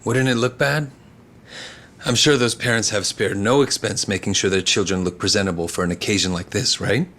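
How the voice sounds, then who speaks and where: An actor playing a confident, smooth-talking governor who speaks in a breezy, self-assured way in a video game. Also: male voice, on the low side, steady tone, brisk, a bit bright.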